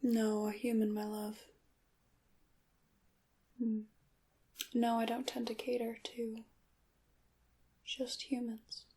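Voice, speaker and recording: A mysterious old-world witch shopkeeper, acted by a woman for ASMR roleplay. Her voice sits fairly high yet stays soft and very breathy, often sinking to a whisper. She talks slowly and courteously, with coy pauses and wry reserve. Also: flat delivery, full character voice. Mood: guarded, coy. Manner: slow, hesitant pauses, old-fashioned courteous phrasing.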